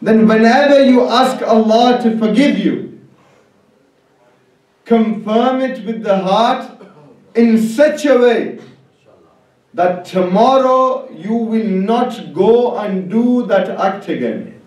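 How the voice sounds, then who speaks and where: A male preacher delivers a sermon from a little way off in a heavily reverberant hall. His pace is slow and deliberate, and he leans emphatically on repeated phrases as he addresses the congregation. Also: high-pitched, lively intonation, darkish tone. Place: large echoing religious hall. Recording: very echoey hall, distant mic.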